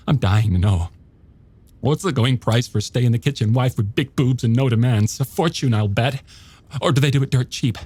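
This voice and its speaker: A man reading aloud voices an agitated woman sarcastically accusing her husband, lifting his pitch well above his own. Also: slightly rough. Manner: sarcastic, accusing, rising to near-shrill.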